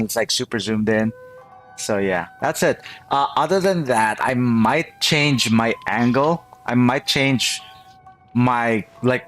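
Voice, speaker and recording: A man's voice: mid-range voice, fairly bright tone. A man chatting casually to viewers as a game streamer, rambling and often repeating his phrases. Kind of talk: casual livestream chat to viewers.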